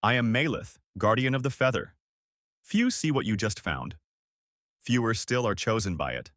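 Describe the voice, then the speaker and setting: A man voicing an ancient woman, a calm mystical guardian of the forest, in a gentle and solemn storybook manner. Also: fairly low pitch, moderate pace, no room sound, studio quality.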